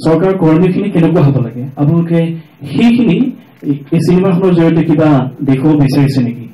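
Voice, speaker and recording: A man's voice: on the high side, lively intonation, racing, dark tone. A man with an Assamese accent asking a question from the audience in an auditorium. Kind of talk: question at a panel discussion. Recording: echoey auditorium.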